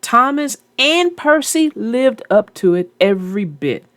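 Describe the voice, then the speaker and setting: Woman, storybook reader, lively pitch, unhurried, dry close sound. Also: no room sound. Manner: slow, expressive read-aloud with character voices. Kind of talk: reading a children's book aloud, then casual chat.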